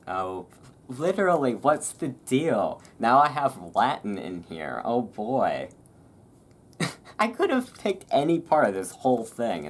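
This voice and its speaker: A person reading aloud in a relaxed way with casual, rambling asides, halting and self-deprecating about it. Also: low-pitched. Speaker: reader and host. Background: thunderstorm ambience.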